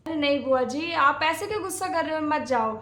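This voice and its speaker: A woman's voice: high-pitched, normal speed, fairly bright tone. A woman playing a placating, sweetly cheeky young daughter-in-law in a domestic comedy skit. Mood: pleading, soothing. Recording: echoey room.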